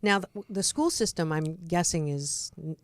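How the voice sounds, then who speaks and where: A woman hosting a radio interview, chatty and quick to jump in with short prompts. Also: deep voice, lively intonation, dry recording. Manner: chatty, interjects with many mm's. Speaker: radio show host. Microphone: studio mic.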